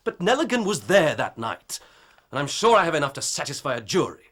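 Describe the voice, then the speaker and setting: An eager police inspector, slightly high voice, lively. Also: male voice, brisk. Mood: insistent. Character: eager, earnest male police inspector, proud but outwitted.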